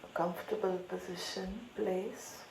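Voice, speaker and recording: A woman meditation teacher leading a guided meditation calmly and deliberately, with long pauses between phrases. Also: medium pitch, gravelly. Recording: roomy echo, light background noise.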